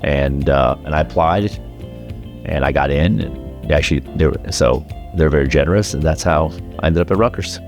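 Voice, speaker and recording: A man in his thirties or early forties from South Carolina, born in China, reminiscing in a conversational interview with halting, thoughtful phrasing. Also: very deep voice, faint music behind. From grew up in South Carolina, born in China. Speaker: law school alumnus, interviewee.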